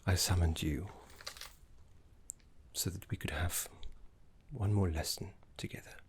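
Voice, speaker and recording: A man playing a gentle, wistful elderly necromancy professor in a breathy, husky half-whisper, halting and genteel, as an ASMR roleplay. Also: sits low, slight background noise. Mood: wistful, regretful. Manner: halting, genteel, formal wording.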